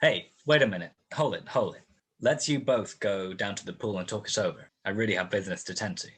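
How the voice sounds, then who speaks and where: A man's voice: lowish voice, a little fast, a bit bright, husky. A man playing a wry, laconic 1940s private detective in a radio play, both narrating and in dialogue. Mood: brusque. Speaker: lead actor and narrator. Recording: music behind the voice, home-recorded radio play. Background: music.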